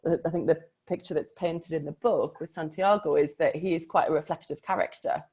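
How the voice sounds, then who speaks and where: A woman with a notably low voice talks about a book in a relaxed conversation. She is a coach, and she speaks reflectively, leaning on key words for emphasis. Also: brisk, husky. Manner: reflective, emphatic, often laughs.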